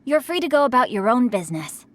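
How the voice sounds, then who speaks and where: A woman's voice: a little high, sing-song, quick pace, a little hiss or hum. Refined, bookish teenage boy. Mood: courtly. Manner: courtly, eloquent. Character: refined, bookish, courteous teenage young master. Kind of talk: video game voice acting.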